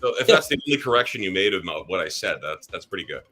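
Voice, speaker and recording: Man, deep voice, ringing bright tone, rambling livestream host. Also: very animated, fast. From Germany. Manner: chatty, rambling with fillers. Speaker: realtor hosting a live stream. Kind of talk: casual livestream chat.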